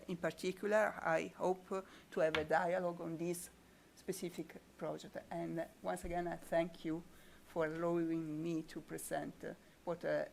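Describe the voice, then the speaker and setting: A woman giving a conference presentation in a halting, hesitant way, often repeating words. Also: fairly low pitch, creaky, slight background noise. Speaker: panel speaker.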